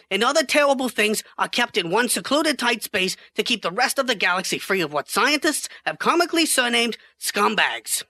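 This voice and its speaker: Woman playing a bright, high-pitched male scientist. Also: dry recording. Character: male chief quantum scientist lecturing earnestly on space. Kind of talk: amateur sci-fi radio play, mock news interview.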